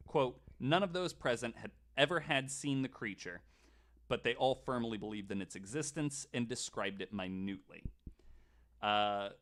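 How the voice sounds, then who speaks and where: A man's voice: medium pitch, normal speed, a bit bright. A man in casual podcast conversation, retelling researched stories with a joking, sarcastic, sometimes sweary manner. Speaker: podcast storyteller on a panel.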